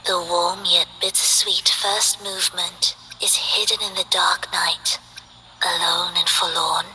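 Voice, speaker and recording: A serene, mysterious young woman performer in a game, drawing out each line slowly and softly. Her tone is very bright and clear. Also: fairly low pitch, level tone, a little hiss or hum, rough recording. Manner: slow, soothing and poetic. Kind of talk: game voice lines.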